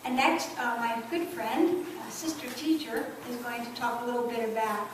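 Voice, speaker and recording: Female event host, higher-pitched, informal introductions, off-mic in a noisy hall. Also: steady tone, moderate pace. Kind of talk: introducing the next speaker. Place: large echoey room, live event. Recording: distant, echoey, noisy room.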